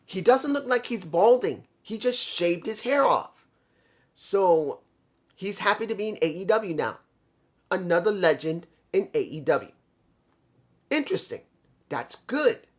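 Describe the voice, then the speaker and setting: A man's voice: sits high, lively intonation, unhurried, pauses often. A man giving a casual solo review of a wrestling show, rambling and correcting himself as he stumbles over names.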